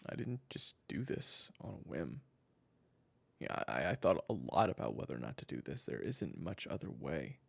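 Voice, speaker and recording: Guy doing a tired gritty hitman, raspy and low, swings around a lot, sardonic, audio drama. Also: very animated, heavily acted. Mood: anxious, resolute. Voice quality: gravelly, raspy. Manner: halting, sardonic, swears. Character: weary gravel-voiced male assassin desperate to protect his family. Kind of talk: audio roleplay drama acting.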